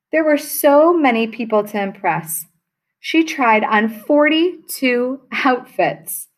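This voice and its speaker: A woman teacher reading a children's picture book aloud to her class, telling it with expression. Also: a little high. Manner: slow, expressive storytelling.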